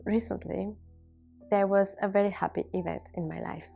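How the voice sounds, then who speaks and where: A woman in her thirties from Bulgaria, a native Bulgarian speaker, giving a reflective personal monologue to viewers in measured, deliberate phrases. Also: medium pitch, steady tone, gravelly, dark tone, soft background music. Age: 30s. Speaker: video blogger.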